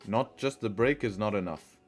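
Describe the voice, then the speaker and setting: Male streamer, mixed partly Arabic-sounding accent, low voice, drills points with rhetorical questions, faint music bed. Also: normal speed. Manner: rhetorical, repeats questions and answers to drive a point. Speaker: trading livestream host. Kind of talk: live-stream chat and chart commentary.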